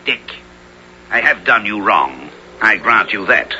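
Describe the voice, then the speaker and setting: An actor playing a scheming, villainous traitor knight in a radio drama, with theatrical archaic diction. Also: male voice, lowish voice, lively intonation, takes it slowly, pushed and bright, heavily acted. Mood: defeated, pleading. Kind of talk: 1970s radio drama acting. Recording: dull radio recording, faint noise.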